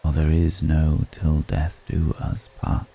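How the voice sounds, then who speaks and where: Guy doing a solemn undead vampire, super dark mellow voice, gravelly, asmr roleplay, crickets chirping behind him. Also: monotone, a little hiss or hum, exaggerated voice. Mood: solemn, melancholic. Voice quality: very deep, dark, creaky murmur. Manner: slow, measured, poetic, pausing between phrases. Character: brooding undead male vampire, solemn, melancholic. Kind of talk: intimate ASMR roleplay monologue.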